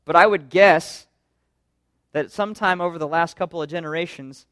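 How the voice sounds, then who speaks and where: A man's voice: a little high, moderate pace, fairly bright tone, clear, resonant tone, no echo, clean studio sound. A man pastor preaching a sermon in an earnest, conversational way, full of rhetorical questions.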